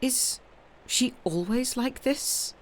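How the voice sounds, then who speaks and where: A woman's voice: high voice, slow pace, pitched above the performer's own voice. Capricious lady of leisure, acted by a woman reader, languid and coy, literary storytelling.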